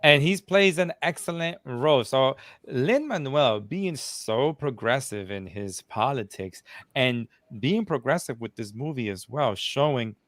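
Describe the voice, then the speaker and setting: A man, a guest reviewer from New Jersey, talking in a casual panel chat, animated, with many restarts and fillers. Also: medium pitch, no room sound, studio quality. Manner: animated, talks with his hands in phrases, many restarts and fillers.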